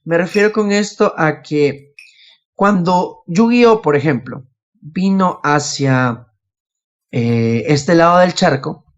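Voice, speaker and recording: Higher-voiced Latin American man, dull lo-fi audio. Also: takes it slowly. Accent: Latin American Spanish. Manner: explanatory, poses questions and answers them. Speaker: podcast host. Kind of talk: casual podcast discussion. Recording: dull, lo-fi voice connection.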